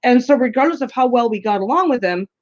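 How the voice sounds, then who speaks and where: A woman's voice: a little high, lively intonation, fast. A woman with a scratchy voice co-hosting a casual live stream, rambling with many restarts and repeats. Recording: dull lo-fi stream audio.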